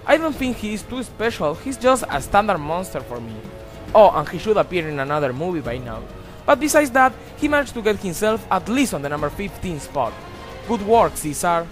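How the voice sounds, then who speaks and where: A man's voice: high-pitched, sing-song, a little fast, faint music behind. A young man from Argentina, narrating a countdown video in an emphatic, exclamatory way with crude jokes. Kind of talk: scripted voice-over narration.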